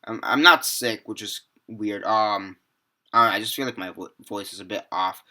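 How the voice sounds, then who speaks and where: A man's voice: lowish voice, normal speed, pushed and bright. A seventeen-year-old young man giving a rambling, casual movie review, full of fillers, restarts and the odd swear word. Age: teens.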